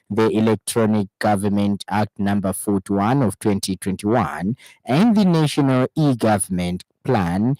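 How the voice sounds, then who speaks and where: A man's voice: lowish voice, darkish tone, no room sound, rough recording. A man with a Zambian accent reading a scripted radio news bulletin as the presenter. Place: radio studio.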